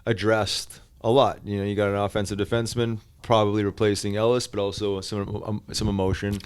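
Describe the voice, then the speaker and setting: Male sports podcast co-host, low and resonant, loose informal delivery with repeated words, very dry room. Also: flat delivery, fairly quick, smooth, dry recording. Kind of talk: casual sports chat. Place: podcast studio.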